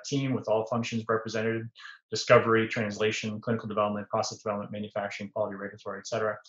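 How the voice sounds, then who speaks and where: A man's voice: on the low side, a bit bright. A man introducing his biotech company on a panel, listing facts in a businesslike way with some stumbles. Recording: roomy, dull lo-fi sound.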